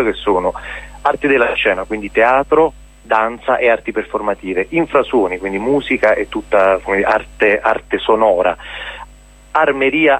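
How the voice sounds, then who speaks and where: A man's voice: on the low side, fairly bright tone, no room sound, poor audio. A man explaining hesitantly in a radio interview, repeating words as he searches for the next. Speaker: interviewee, member of a cultural centre.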